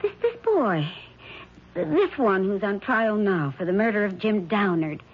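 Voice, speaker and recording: An actress playing a judge's troubled, fearful wife in an old radio drama, speaking gravely and haltingly. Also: female voice, medium pitch, expressive. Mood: anguished, alarmed. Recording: dull, noisy vintage radio recording.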